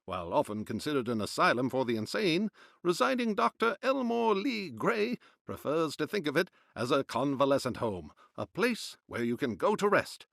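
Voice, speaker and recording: An actor playing a formal period newsreader, reading newspaper reports in a declamatory narrating style. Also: male voice, fairly high pitch, very animated, moderate pace, gravelly, fairly bright tone, dry recording, studio quality, exaggerated voice. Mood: formal, measured. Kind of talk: scripted news narration.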